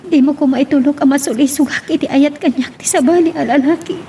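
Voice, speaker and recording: A woman's voice: high voice, fast talker, dark tone, a little hiss or hum. A woman with an Ilocano Filipino accent playing a troubled, conflicted wife and mother in an audio drama. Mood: anguished. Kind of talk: Ilocano radio-style drama acting.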